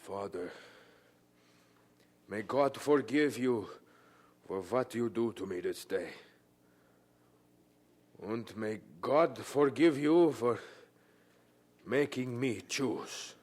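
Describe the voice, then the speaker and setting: An actor playing an earnest, devout young German theology student with a German accent, speaking fervently and deliberately in a radio drama. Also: male voice, mid-range voice, darkish tone, husky. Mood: solemn, hurt.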